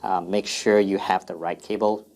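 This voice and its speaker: A man's voice: low-pitched, fairly even intonation, moderate pace, darkish tone. A man presenting an informal product FAQ demonstration in a plain, step-by-step instructional way.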